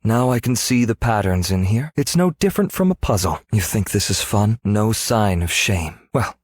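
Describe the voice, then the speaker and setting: A male actor voicing a scholarly, world-weary archaeologist in a video game, speaking in a measured, reflective, formal way. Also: on the low side, expressive, dry recording, clean studio sound. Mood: dry, intrigued. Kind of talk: scripted game character voice lines.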